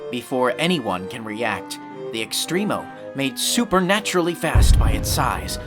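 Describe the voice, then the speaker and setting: A man's voice: medium pitch, sing-song, a little slow, music in the background. A man playing the solemn, dramatic narrator of a scripted science-fiction audio drama. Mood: vivid, solemn. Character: dramatic, solemn storyteller of a space saga.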